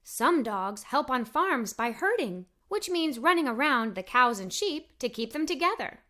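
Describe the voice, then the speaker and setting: A woman reading a children's story aloud in the first person as a young girl, expressive and full of wonder. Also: high voice, measured pace.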